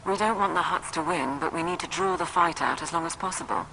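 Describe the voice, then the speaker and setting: An actor playing a brisk, wry intelligence operative in a video game, briefing a commander in a glib, informal way. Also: mid-range voice, creaky, bright, forceful tone, a little hiss or hum, rough recording. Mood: calculating. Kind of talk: video game cutscene acting. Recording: music behind.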